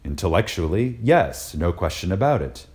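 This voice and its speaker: A man reading a spiritual lecture aloud in a reverent, dramatic style, deliberate and emphatic, with pauses for effect. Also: low-pitched, sing-song, darkish tone.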